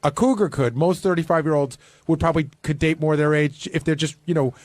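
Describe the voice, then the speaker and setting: A man's voice: on the high side, fairly quick, a little mellow, no echo. A middle-aged man hosting a radio talk show, prodding and teasing his guests. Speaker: radio host.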